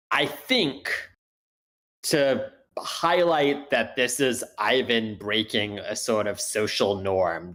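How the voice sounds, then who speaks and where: A man's voice: fairly low pitch, a bit bright. A man giving spontaneous analytical feedback in an online meeting, thinking aloud and hedging as he goes. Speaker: workshop participant giving feedback.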